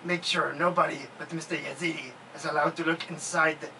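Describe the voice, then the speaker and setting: Man playing a bright, brisk gang leader. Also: fairly high pitch, fairly even intonation, vocal fry, full character voice. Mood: brisk, commanding. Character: brisk male leader of thieves giving orders. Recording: distant mic, faint room noise.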